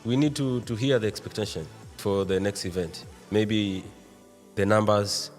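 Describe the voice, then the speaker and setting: A man hosting an interview, speaking haltingly with many short agreements and repeated words. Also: fairly low pitch, faint music behind. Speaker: interview host. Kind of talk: casual interview.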